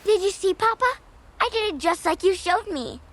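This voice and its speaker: A woman's voice: very high-pitched, expressive, normal speed, fairly bright tone, slight background noise. A woman voicing a sweet, eager little girl in a motion comic, with a childlike way of talking. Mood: excited. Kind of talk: scripted cartoon dialogue.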